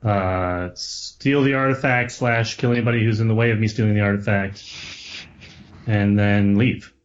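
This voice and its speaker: A man's voice: on the low side, fairly even intonation, moderate pace. A man speaking casually as a player in a tabletop roleplaying game, on a dull recording.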